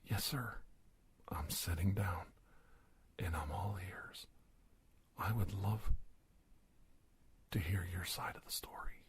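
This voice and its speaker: A man playing a courteous, startled investigator doctor in an ASMR roleplay, speaking in a hushed, breathy, stammering way. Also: sits low, slight background noise. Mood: earnest, attentive. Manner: halting, stammering, formal and courteous. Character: startled, polite investigator doctor facing an alien. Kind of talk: ASMR roleplay monologue, soft-spoken and whispery.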